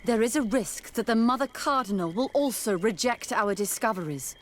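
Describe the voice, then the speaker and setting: An actress playing an earnest, fervent scholarly nun in a fantasy video game. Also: female voice, fairly high pitch, lively intonation, moderate pace, slight background noise, lightly acted. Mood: grave. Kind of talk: scripted fantasy game dialogue. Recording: game music behind the voice. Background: game music.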